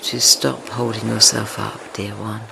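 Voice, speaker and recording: Very slow, low, gravelly man guiding meditation. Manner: gentle, soothing, tender, unhurried with long pauses. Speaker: guided meditation teacher. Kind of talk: slow guided meditation, yoga nidra. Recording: steady ocean wave noise behind voice. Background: ocean wave sounds.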